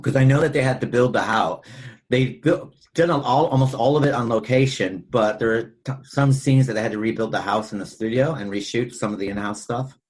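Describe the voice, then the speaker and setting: A man with a husky voice talking casually and ramblingly as a podcast host discussing film. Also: medium pitch, a little fast, a little mellow.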